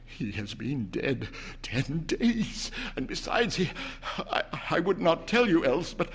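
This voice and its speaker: A man's voice: fairly high pitch, sing-song, takes it slowly, husky, voice pushed up, heavily acted. Storybook role: shaken old husband acted by a male reader, stammering, gasping, fearful, voice pushed upward. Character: frightened, unsteady old husband, tender but shaken.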